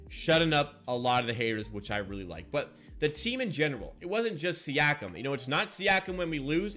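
A young man in his twenties hosting a basketball post-game show, recapping stats with fan energy. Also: medium pitch. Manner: energetic, animated fan recap with hand gestures. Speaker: sports reaction host. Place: small room in front of a green screen.

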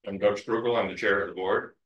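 Low-voiced man chairing a meeting. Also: steady tone, fairly bright tone. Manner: easygoing, repeats words, brisk procedure. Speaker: board chair. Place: council meeting room. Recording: echoey room, muffled sound.

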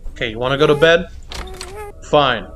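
A man's voice: medium pitch, normal speed, fairly bright tone, faint music behind. A man giving reaction commentary on a horror game, jumpy and exclaiming, swearing and repeating himself. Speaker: gaming video creator.

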